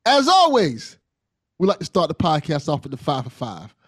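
A man's voice: fairly high pitch, wildly swooping pitch, normal speed, husky, no echo, studio quality. An American man hosting a podcast in a casual, conversational style with a jokey, informal manner. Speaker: podcast host.

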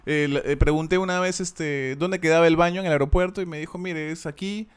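Peruvian man, relaxed co-host, pitch a bit high, reflective and agreeable. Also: brisk, clean tone. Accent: Peruvian Spanish. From Peru. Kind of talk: casual chat.

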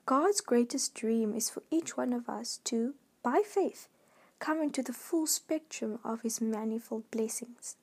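A woman reading a scripted devotional in a measured, earnest way. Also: fairly high pitch, darkish tone, slightly rough. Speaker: devotional reader.

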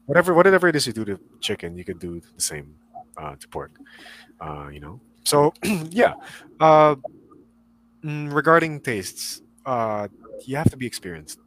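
A man's voice: mid-range voice, sing-song, moderate pace, fairly bright tone, poor audio. A young man with a Southern Californian accent, chatting casually as a guest, rambling with many fillers and restarts. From Philippines. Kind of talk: casual chat.

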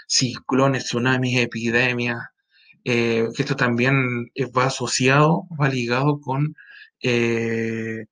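Gravelly Chilean male teacher. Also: medium pitch, fairly bright tone. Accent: Chilean Spanish. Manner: rambling, with many fillers and checks like "¿ya?". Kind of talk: recorded class lecture.

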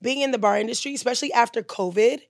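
A woman's voice: fairly high pitch, racing, dry recording, clean studio sound. A young woman co-hosting a casual podcast about bar life. She gushes, repeats herself and restarts her sentences a lot. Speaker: podcast co-host and bartender. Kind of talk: casual chat.

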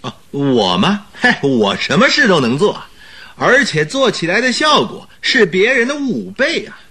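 Husky, swooping, cocky braggart. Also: male voice, high voice, big pitch swings, a little slow, pushed and bright, heavily acted. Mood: boastful, cocky. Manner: bragging, exaggerated. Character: boastful, cocky male job applicant. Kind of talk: radio drama acting.

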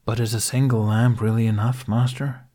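A man's voice: lowish voice, level tone, normal speed, warm tone, natural voice. A man voicing a grown male Jedi knight who answers politely but evasively. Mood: guarded. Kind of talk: audiobook character voice.

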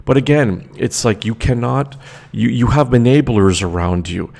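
Gravelly-voiced man hosting a commentary show. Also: medium pitch, moderate pace, a little mellow. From Canada. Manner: emphatic, many fillers and restarts. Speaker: podcast host. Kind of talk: opinionated solo commentary. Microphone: desk microphone.

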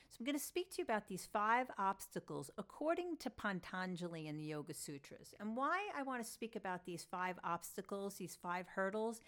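A woman with a Long Island New York accent hosting an informal solo talk as a teacher, emphatic and repeating phrases for stress. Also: mid-range voice. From Long Island, New York, United States. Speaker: podcast host and yoga philosophy teacher. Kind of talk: informal solo spoken lecture.

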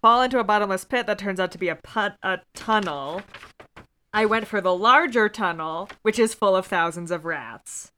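A woman's voice: medium pitch, normal speed, bright, forceful tone, a little hiss or hum. A woman chatting casually as a podcast co-host, recounting things with wry, sardonic asides. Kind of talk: casual chat, recounting a gamebook playthrough.

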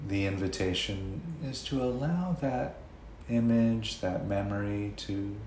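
A low-pitched man guides a meditation gently and without hurry. His phrases come with long, restful gaps between them. The room adds a clear echo, and there is faint noise in the background. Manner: gentle, unhurried, with long pauses.

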